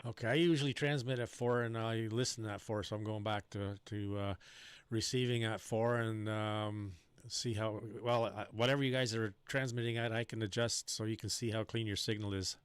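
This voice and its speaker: A man's voice: fairly low pitch, fairly even intonation, moderate pace, slight background noise. A man hosting a casual amateur radio chat from his home station, thinking aloud in an easygoing way while he adjusts his settings.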